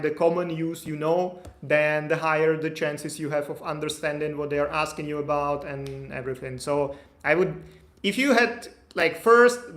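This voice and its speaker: A man in his thirties hosting a live stream, reading out viewer questions and answering them in a loose, chatty way, with lots of fillers and restarts. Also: fairly high pitch. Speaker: immigration consultant answering live chat questions. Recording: echoey room.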